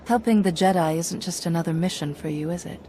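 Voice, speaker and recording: A woman's voice: lowish voice, fast talker, dark tone, slight background noise, close to an everyday voice. An actress playing a composed, determined female commander in a video game, speaking in a cool, direct, self-assured way. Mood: cool, probing. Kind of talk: dramatic video game acting.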